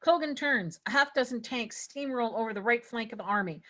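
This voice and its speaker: Woman, higher pitch, scripted narration, table read, reading stage directions aloud. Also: fairly quick, bright, forceful tone.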